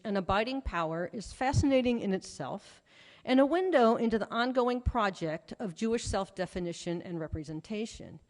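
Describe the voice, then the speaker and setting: A woman professor delivering a scripted academic talk, articulate, with a wry touch. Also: medium pitch. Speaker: professor giving a conference talk. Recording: reverberant lecture hall.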